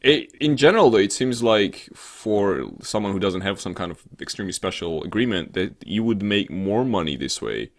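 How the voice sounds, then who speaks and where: A man co-hosting a casual internet talk show, commenting in a halting way as he searches for his words. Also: on the low side, expressive.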